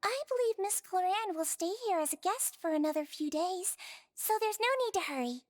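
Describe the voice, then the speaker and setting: A woman voicing a small, caring young nurse girl in a video game, courteous, gentle and precise. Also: very high voice, animated, brisk. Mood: courteous, reassuring. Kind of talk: scripted video game voice acting.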